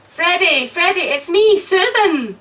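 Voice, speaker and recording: Frightened young wife, urgent radio melodrama, very bright tone, distant old recording. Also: female voice, very high voice, lively intonation, slow pace, heavily acted. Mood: desperate pleading. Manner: urgent, pleading, emotional. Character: frightened, desperate young wife trapped in nightmare. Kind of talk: old radio drama acting.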